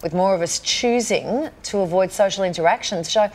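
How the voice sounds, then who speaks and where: A woman's voice: mid-range voice, moderate pace, darkish tone. An Australian woman hosting a conversational radio panel and putting questions to the others. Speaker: host.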